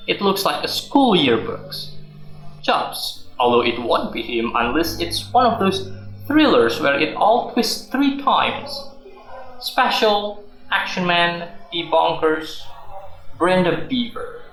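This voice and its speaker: Male actor as evasive middle-aged husband, very animated intonation, radio drama, roomy sound, faint music. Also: high voice, slow pace, heavily acted. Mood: defensive. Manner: exasperated, joking, evasive. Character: defensive, flippant middle-aged husband and office worker. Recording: roomy echo, music underneath.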